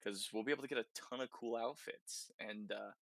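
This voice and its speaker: A man's voice: mid-range voice, a little fast, vocal fry, a bit bright, no echo. A man hosting a casual, conversational podcast discussion about video games, often checking in with short tag questions. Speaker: podcast host.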